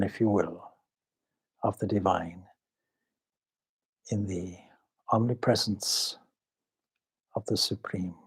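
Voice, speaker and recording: A man leading a guided meditation as a swami, speaking solemnly and measuredly in short phrases with long pauses. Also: on the low side, husky. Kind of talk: spiritual talk and guided meditation.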